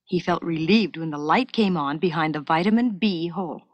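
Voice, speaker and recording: A woman reads a scripted educational story for children in a clear, measured storytelling manner, as on a 1970s school cassette. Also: fairly low pitch, dry recording, highs cut off. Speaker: narrator.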